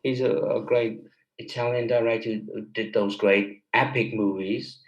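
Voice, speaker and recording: A man from Germany speaks as a guest in a casual interview, in a roomy, echoey space. His pitch is middling and his manner is enthusiastic and explanatory, like a filmmaker talking through his craft. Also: steady tone, a little slow, dull audio. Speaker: guest, film director and composer.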